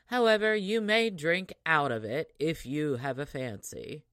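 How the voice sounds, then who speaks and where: A woman's voice: deep voice, measured pace, a bit bright, no room sound, studio quality. A woman voicing a proud, rude young woman from a fairy tale who answers with sarcasm. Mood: sneering. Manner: sneering, sarcastic.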